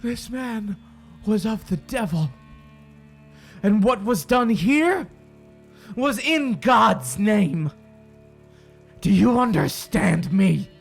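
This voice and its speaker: A high, raging, commanding sheriff. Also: male voice, lively intonation, slow pace, vocal fry, long pauses, slightly rough, soft background music, heavily acted. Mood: frenzied rage. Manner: commanding, declamatory, shouting demands. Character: cruel, raging sheriff at a witch-trial execution. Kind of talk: dramatic audio drama acting.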